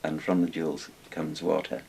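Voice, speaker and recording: Older male expert from Wales, low-pitched, informal explainer, hissy room recording. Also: little pitch movement, normal speed, creaky, a little mellow, rough recording. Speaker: interviewed expert on Chinese dragons. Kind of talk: casual explanatory talk. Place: small book-lined room indoors. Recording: hissy background noise.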